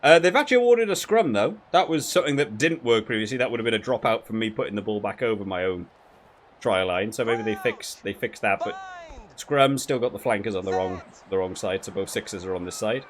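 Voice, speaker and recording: A man's voice: on the low side, lively intonation, fairly quick, slight background noise. A man giving casual gameplay commentary as a video creator, rambling and thinking aloud as he goes. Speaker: gaming video creator reviewing a rugby game.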